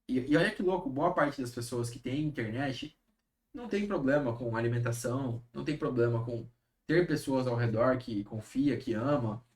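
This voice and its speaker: A man, giving casual advice on a live stream and often checking in with tag questions. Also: mid-range voice. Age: 30s. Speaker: health coach hosting a live stream.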